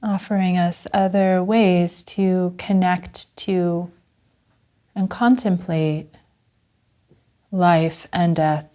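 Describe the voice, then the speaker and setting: Female meditation teacher. Lowish pitch, very mellow tone, gentle and unhurried guided delivery. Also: steady tone. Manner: gentle, unhurried, with long pauses. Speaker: Zen Buddhist teacher guiding a meditation.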